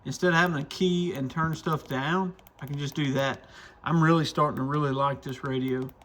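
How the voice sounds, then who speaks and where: A man's voice: on the high side, fairly even intonation, brisk, fairly bright tone, a little hiss or hum. A man hosting a casual hands-on product demo, thinking aloud informally as he tinkers. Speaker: radio shop reviewer and video host.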